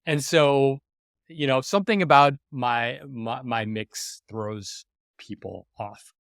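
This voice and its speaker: Animated man in his forties, dry sound. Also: mid-range voice, slow, no room sound. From New York City, USA. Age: 40s. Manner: animated, self-interrupting, with frequent restarts and sudden emphatic jumps. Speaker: podcast host. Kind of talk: personal reflective monologue.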